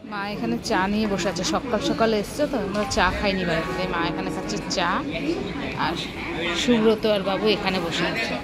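A woman's voice: medium pitch, steady tone, a little fast. A woman, narrating her travel vlog casually, talking through plans and chatting with family at a busy station and on a train. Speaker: travel vlogger. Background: crowd and train noise.

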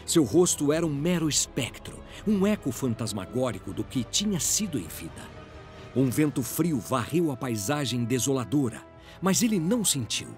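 A Brazilian man narrates a fantasy audio drama. His delivery is dramatic and scripted, with a widely varied pitch in a mid register. Music plays clearly behind him. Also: very animated, moderate pace, darkish tone.

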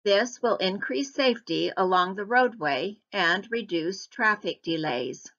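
Woman, scripted voice-over, bright clear tone, even measured reading, slightly dull lo-fi sound. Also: mid-range voice. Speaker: presenter of a public hearing slideshow. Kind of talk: scripted narration.

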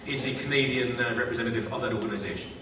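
A man's voice: mid-range voice, rapid-fire. A man in his fifties hosting a panel, introducing guests with wry jokes and many fillers. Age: 50s. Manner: wry, self-deprecating, hesitant with fillers. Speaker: panel host. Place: large lecture hall. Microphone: table mic, distant camera. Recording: echoey hall from far off, noisy.

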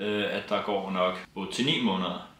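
A man's voice: low-pitched, a bit bright. A young man speaking openly and plainly to camera in a casual vlog, seated beside his partner. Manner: plain, open, a bit wry. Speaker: vlogger, partner. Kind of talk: casual personal talk to camera. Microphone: camera on tripod, seated. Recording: roomy echo.

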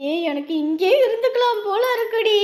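A woman playing an excitable, tearful young village girl in a story drama, squealing and exclaiming. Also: very high-pitched, brisk, heavy background noise. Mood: excited delight.

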